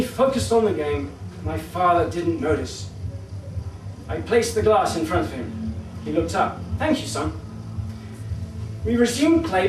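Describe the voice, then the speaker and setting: Echoey, distant high-pitched man reading a story. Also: a little hiss or hum. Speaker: author reading own story. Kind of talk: live literary reading of a first-person short story. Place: indoor book launch event at a London venue. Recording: distant, echoey live recording in a venue.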